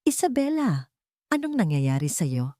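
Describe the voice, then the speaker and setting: A woman's voice: on the low side, sing-song, a little slow, warm tone, no echo, clean studio sound. A woman narrator voicing a scheming brother who feigns sympathy and scolds with scorn in a romance story. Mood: feigned concern. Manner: insinuating, scolding.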